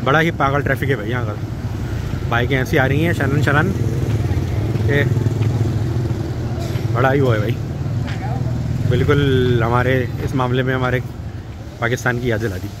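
A man's voice: medium pitch, steady tone, moderate pace, pauses often. Pakistani guy rambling on a walking vlog, lots of fillers, bikes roaring all round him. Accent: Pakistani Urdu. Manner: chatty, rambling, with many fillers. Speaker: travel vlogger. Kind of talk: casual walking vlog commentary. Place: busy city street at night, outdoors. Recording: loud street traffic noise. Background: heavy motorbike and traffic noise.

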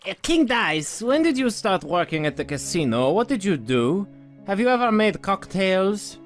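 A woman's voice: medium pitch, very animated, moderate pace, faint music behind, lightly acted. A woman voicing a cheeky night-owl cartoon mug who works at a casino, teasing and breezy, in a comic dub. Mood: curious.

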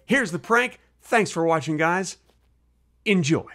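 A man's voice: high-pitched, animated, unhurried, pushed and bright, faint music behind. A middle-aged man with an American accent hosting a video, talking casually to camera with a sponsor read, into a desk mic at home. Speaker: video host. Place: small home room, indoors. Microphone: desk microphone close in front.

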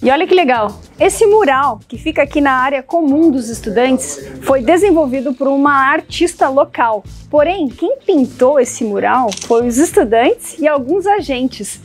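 Female vlog host, Brazilian accent, higher-pitched voice, upbeat delivery, music underneath. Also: lively intonation, moderate pace, hardly any pauses. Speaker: presenter. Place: indoor classrooms and corridors of a school. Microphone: lapel.

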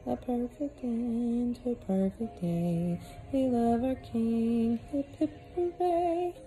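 A woman voicing a fussy, falsely cheerful middle-aged caretaker in a storybook read-aloud, with a fluttery, high manner. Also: takes it slowly, faint music behind, low-quality recording. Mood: forced cheer. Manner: bright, fluttery, forced cheer.

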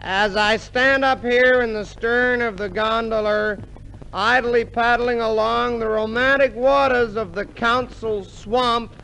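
An actor playing a grandiose gondolier tour guide in a 1950s radio comedy. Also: male voice, extremely high pitch, steady tone, slow, creaky, pushed and bright, full character voice. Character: showy male boss posing as gondolier tour guide. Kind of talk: 1950s radio comedy acting, half-sung patter. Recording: noisy 1950s radio recording.

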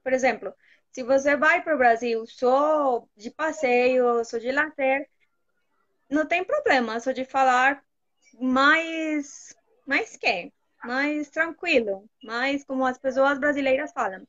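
A Colombian woman whose first language is Spanish speaks Portuguese in a clearly high voice, calm and thoughtful, now and then searching for a word. She comes through a phone video call, so her voice sounds muffled and dull. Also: takes it slowly. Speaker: Portuguese learner, guest. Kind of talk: casual conversation on a video call.

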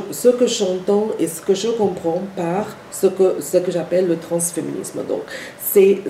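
A woman, a from Sri Lanka, giving an academic lecture in a halting way, with many restarts and repeated words. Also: on the low side, dark tone. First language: Sinhala. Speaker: guest lecturer. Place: university seminar room. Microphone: far camera. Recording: echoey room from far off, faint noise.

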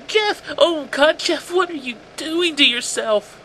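A man's voice: very high voice, lively intonation, unhurried, bright, forceful tone, slight background noise, pitched above the performer's own voice, full character voice. A man voicing a terrified mother who pleads with her son in a tearful, pleading way. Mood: panicked.

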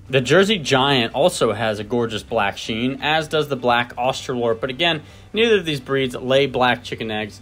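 A man's voice: medium pitch, a bit bright. A man giving a scripted, lightly playful voice-over narration.

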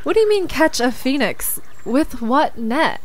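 A woman's voice: sits high, very animated, unhurried. A woman playing an upbeat, wry young woman in an audio drama. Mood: wry, curious.

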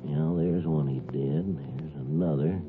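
A man's voice: a bass voice, unhurried. An actor playing a plain-spoken, decent small-town man in a 1950s radio drama, with a folksy delivery. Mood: earnest, concerned. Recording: tinny 1950s radio, music underneath.

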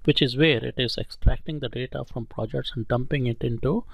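Man narrating a software tutorial, slightly creaky. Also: medium pitch, fairly even intonation, brisk, no room sound. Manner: explanatory, step-by-step. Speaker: software training instructor.

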